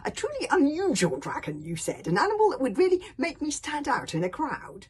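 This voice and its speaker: A woman's voice: medium pitch, big pitch swings, fairly quick, slightly rough, voice pushed up. A woman voicing a gloomy, self-doubting young Viking boy in a storybook, complaining in a plaintive way. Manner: loud, plaintive protesting.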